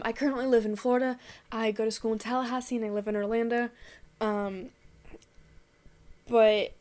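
Young woman, early twenties, casual vlog monologue, loose and rambling, slightly high pitch. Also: darkish tone. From Texas. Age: young adult. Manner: rambling, many "um"s and restarts. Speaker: vlogger. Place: small bedroom indoors.

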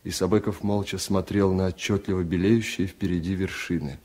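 A man reading a literary story aloud for radio, in a measured, reflective and restrained way. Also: low voice, steady tone, darkish tone. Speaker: narrator.

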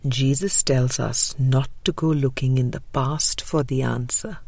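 A woman narrating a spiritual book from a script, measured and deliberate, with breathy trailing phrase endings. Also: extremely low pitch, steady tone, a little mellow, no room sound. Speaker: audiobook narrator.

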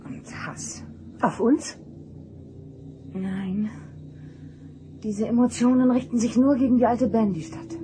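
A woman playing a sensitive, earnest empathic ship's counselor in a radio drama, speaking intently and haltingly, with dramatic weight. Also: on the high side, over music. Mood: uneasy.

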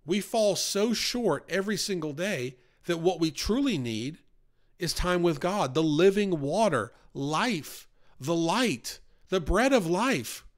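A man's voice: sits high, expressive, slow pace. A man leading an informal Bible study podcast, talking in an earnest, conversational way and pushing his voice up for emphasis.

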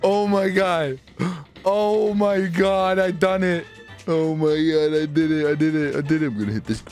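A man's voice: a little high, normal speed, soft background music. A man doing casual, cocky and jokey roleplay chat while playing a video game. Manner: excitable, cocky, jokey, swearing. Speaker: streamer and roleplayer.

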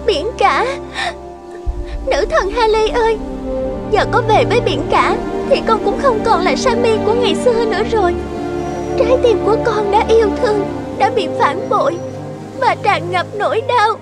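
A woman's voice: very high-pitched, moderate pace, long pauses, loud music behind the voice. A woman voicing a tearful, lovesick young maiden who laments her heartbreak in a cartoon fairy tale. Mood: sorrowful, pleading.

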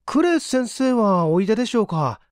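A man's voice: high voice, sing-song, moderate pace, a little mellow, dry recording, clean studio sound, pitched above the performer's own voice. A man voicing an earnest, polite young university student in an audiobook, speaking formally.

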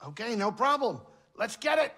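An actor playing a grumpy, excitable small alien pilot in a video game, blustering and exasperated. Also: male voice, high-pitched, normal speed, exaggerated voice. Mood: blustering, eager. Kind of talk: video game cutscene acting.

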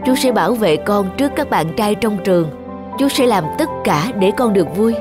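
A kind young man from a cartoon, voiced by an actor, speaking gently and earnestly. Music is clearly audible behind the voice. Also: mid-range voice, lively intonation, moderate pace, close to an everyday voice. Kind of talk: cartoon voice acting.

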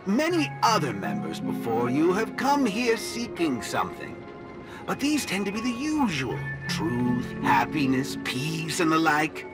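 A cartoon monkey robot from a video game, cryptic and courteous. The pitch leaps up and down dramatically and the words come out unhurried, over prominent music. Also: huge pitch swings, rough recording. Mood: cryptic, solemn. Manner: theatrical, drawn-out, courteous.

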